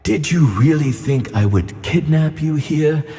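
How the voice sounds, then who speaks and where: A man playing a gentle, wise magical tuna fish who reassures his friend in a children's audio play. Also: on the high side, expressive, a little slow, long reverb tail, soft background music. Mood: patient, encouraging.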